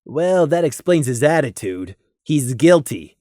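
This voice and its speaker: Stern, dutiful winged stallion guard, played by a male narrator, clipped and commanding, animated pitch, fantasy story. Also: unhurried, dry recording, studio quality. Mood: suspicious.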